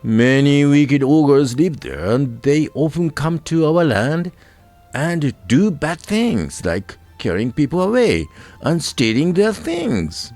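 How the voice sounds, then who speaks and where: A man voicing a brave, polite fifteen-year-old folk hero in a storybook, earnest and deliberate. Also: on the high side, big pitch swings, darkish tone, dry recording, faint music behind, pitched above the performer's own voice. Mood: earnest, resolute. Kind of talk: storybook character voice.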